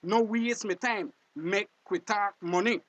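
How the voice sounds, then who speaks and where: An actor playing a haughty, impatient hustler in a screen drama, brash and demanding, with a Belizean accent. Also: male voice, sits high, measured pace, a bit bright, dry recording. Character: pushy, impatient male hustler, haughty and suspicious. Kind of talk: acted screen drama dialogue.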